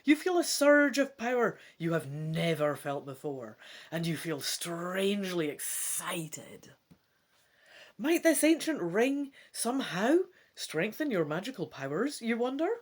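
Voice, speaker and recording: A Scottish woman hosting a gamebook play-through, mixing expressive, theatrical storybook reading with casual commentary. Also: fairly low pitch, a little slow. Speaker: gamebook let's-play host.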